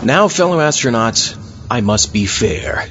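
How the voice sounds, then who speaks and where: Animated male host, slightly dull sound. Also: medium pitch, unhurried. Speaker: show host. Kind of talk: theatrical scripted story introduction. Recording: slightly dull recording, faint music. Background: faint music.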